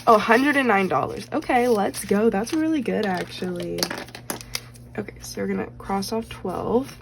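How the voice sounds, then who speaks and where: A woman's voice: mid-range voice, expressive, normal speed, vocal fry, background noise. A woman chatting casually as she stuffs cash into budget envelopes. She rambles with lots of fillers, counts bills aloud and is slightly losing her voice. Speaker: budgeting vlogger.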